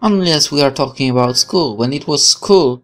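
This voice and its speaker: Guy doing a chill let's play, reading story text out loud, game music underneath. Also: medium pitch, moderate pace. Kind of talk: casual gameplay commentary with read-aloud narration. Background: video game music.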